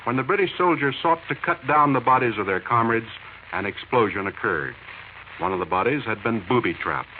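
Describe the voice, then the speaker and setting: A man with an American accent gives news commentary in a 1940s radio style. His pitch is middling. The recording is narrow and tinny with faint noise behind him. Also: animated, brisk.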